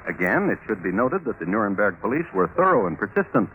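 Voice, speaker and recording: An actor playing a wry crime chronicler, narrating in a dry, deliberate way as host of an old radio drama. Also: male voice, on the low side, sing-song, a little hiss or hum. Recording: old narrowband radio recording, music behind.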